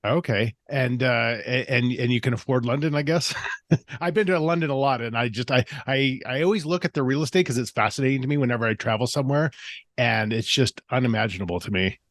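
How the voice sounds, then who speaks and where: A man's voice: mid-range voice, fairly quick, dry recording. A man hosting a casual interview podcast, rambling with many restarts and fillers. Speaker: podcast host.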